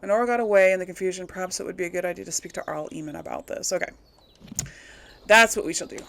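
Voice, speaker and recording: A woman's voice: on the low side, fast, creaky, a bit bright. A woman giving casual commentary on a game she is playing, thinking aloud and often questioning herself. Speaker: gamer hosting a role-play playthrough.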